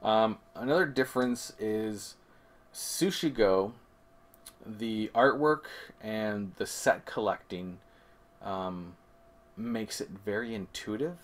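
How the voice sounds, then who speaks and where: A man's voice: fairly low pitch, lively intonation, slow pace. A man co-hosting a board game review, explaining things methodically in casual conversation and correcting himself as he goes. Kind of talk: casual comparison chat.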